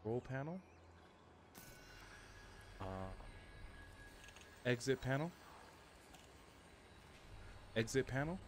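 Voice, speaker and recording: A man with a mid-pitched voice chats casually on a game livestream. He thinks aloud haltingly and gives short spoken commands. There is clear noise in the background.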